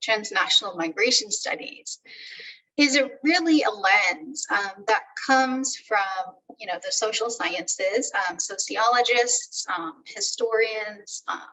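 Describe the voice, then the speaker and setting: A woman's voice: medium pitch, expressive, a bit bright. Middle-aged woman lecturing from a distance. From Turkey. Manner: measured, thoughtful, with frequent "um" fillers. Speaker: professor. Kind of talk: academic webinar talk.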